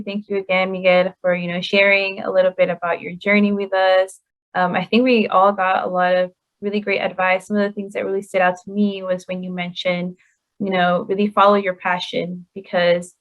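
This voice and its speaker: Flat-voiced woman moderating a call. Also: mid-range voice. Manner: friendly, reads audience questions aloud, many "you know" fillers. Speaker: host. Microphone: video call. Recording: dull lo-fi call audio.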